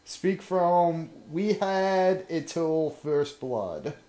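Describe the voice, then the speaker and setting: A man's voice: fairly high pitch, expressive, very slow, dark tone, roomy sound. A man hosting a casual group reading, handing out parts to the others.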